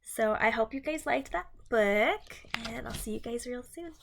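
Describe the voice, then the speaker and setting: Female early-years teacher, high voice, sing-song storytime delivery for little kids, slightly noisy home recording with clicks. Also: animated, moderate pace, vocal fry, fairly bright tone. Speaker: teacher reading a picture book aloud to young children. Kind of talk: read-aloud storytime for children.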